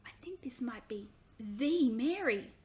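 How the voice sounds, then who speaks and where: A woman's voice: a little high, expressive, slow pace. A woman voicing a thoughtful young boy in a children's story, earnest and matter-of-fact. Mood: awed.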